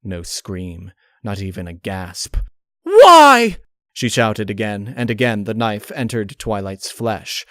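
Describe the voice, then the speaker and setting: A man reading a dark horror story aloud as a scripted narrator, close on the mic. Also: lowish voice, fairly even intonation, unhurried, hardly any pauses, dry recording.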